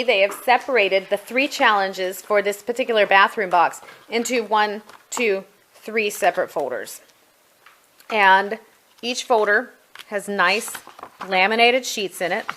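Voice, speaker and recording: A woman's voice: mid-range voice, a little slow, bright, forceful tone, slight background noise. Middle-aged woman giving a casual walkthrough. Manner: conversational, explanatory. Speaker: homeschooling parent reviewer. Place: at home.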